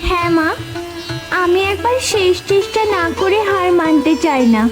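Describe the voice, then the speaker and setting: A woman's voice: very high voice, moderate pace, over music. A young woman playing an earnest, determined, tender-hearted village girl in a cartoon, with emotive delivery. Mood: determined, pleading. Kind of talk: cartoon voice acting.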